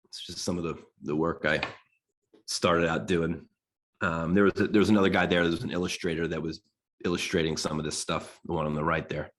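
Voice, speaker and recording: An American man in his forties, nasal from a cold and clearing his throat, gives a loose, rambling talk to a design class. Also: low voice, steady tone, fairly quick, a bit bright. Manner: loose and rambling, full of restarts and fillers. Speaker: guest designer giving a talk.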